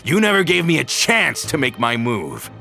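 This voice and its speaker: An actor playing a bitter, vengeful male villain who accuses and rises to shouts, in a game cutscene. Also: medium pitch, huge pitch swings, moderate pace, gravelly, heavily acted. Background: music.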